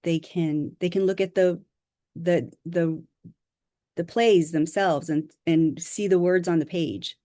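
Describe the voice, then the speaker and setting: A woman from Southern California, an author introducing herself on a video call, speaking modestly and haltingly with fillers. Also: lowish voice, fairly even intonation, warm tone, dry recording, studio quality. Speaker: author presenting a workshop.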